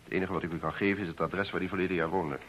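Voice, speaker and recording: An actor playing a curt, secretive politician in a radio play, speaking formally and evasively. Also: male voice, low-pitched, fairly even intonation, racing, natural voice. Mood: firm, evasive. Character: curt, secretive male politician under threat. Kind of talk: Dutch radio play acting. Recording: muffled, faintly noisy old radio recording.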